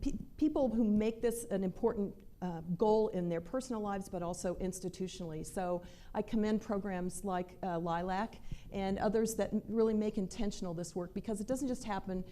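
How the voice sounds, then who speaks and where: Female administrator on a panel, earnest and thoughtful, mellow voice. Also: medium pitch, moderate pace. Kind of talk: unscripted panel remarks.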